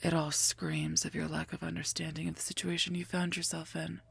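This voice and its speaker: A gruff female werewolf knight, played by a woman for an ASMR roleplay. The voice is very husky and breathy, full of heavy gravel. The delivery is dry, curt and mocking, with little melodic movement. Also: low-pitched, little pitch movement, brisk, exaggerated voice. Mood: mocking, disdainful. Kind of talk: scripted ASMR roleplay, addressed to listener.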